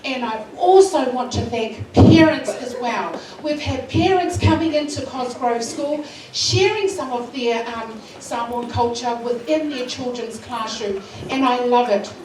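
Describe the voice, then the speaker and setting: A woman's voice: on the high side, measured pace, hardly any pauses, a little mellow. A woman with a New Zealand accent, addressing a school assembly and giving emotional thanks with frequent fillers. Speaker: school culture week organiser. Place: school hall. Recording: echoey hall, far from mic. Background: hall ambience and noise.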